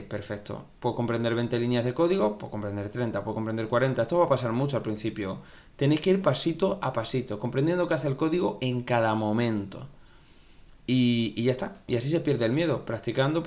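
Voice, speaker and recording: A young man gives casual, conversational advice about programming studies, often repeating phrases and acting out viewer questions. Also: medium pitch. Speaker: YouTube tech educator. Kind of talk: casual advice talk to camera.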